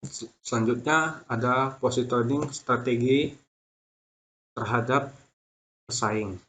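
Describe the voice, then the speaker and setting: A man's voice: mid-range voice, takes it slowly, fairly bright tone. A young man reading a prepared business presentation, stumbling on words and restarting them.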